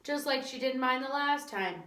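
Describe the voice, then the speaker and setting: A woman reads out a stubborn little schoolboy who answers flat and grudging. He sounds sulky but stays close to the reader's own voice, with echo around it. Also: high-pitched, normal speed.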